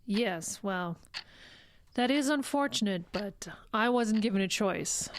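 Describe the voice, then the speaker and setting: A woman acting in an audio drama as a formal, patient psychologist with a measured manner. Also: mid-range voice, expressive, slight background noise. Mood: measured, firm. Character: composed, formal female court psychologist.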